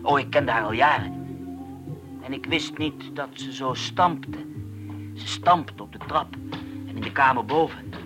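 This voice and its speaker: A blunt, world-weary man played by an actor in a Dutch radio play. He has a mid-pitched voice and Netherlands accent, speaking in sardonic, clipped phrases with music clearly behind him. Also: a little slow, pauses often, lightly acted. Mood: reflective. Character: bitter, blunt, world-weary ex-psychiatric patient, man over thirty. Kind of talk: naturalistic Dutch radio drama dialogue.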